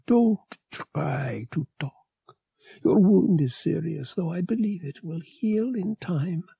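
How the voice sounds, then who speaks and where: A man voicing a devoted female alien hunter who talks softly and emotionally to her mate in an audiobook. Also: fairly high pitch, lively intonation, takes it slowly, no room sound, clean studio sound, pitched above the performer's own voice, exaggerated voice. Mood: tender, relieved. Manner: soft, breathy, emotional murmuring.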